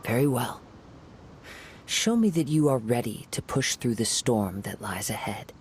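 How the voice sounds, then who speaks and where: A male actor playing a calm, reserved young wandering samurai who speaks in a measured, formal way. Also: medium pitch, a little hiss or hum, lightly acted.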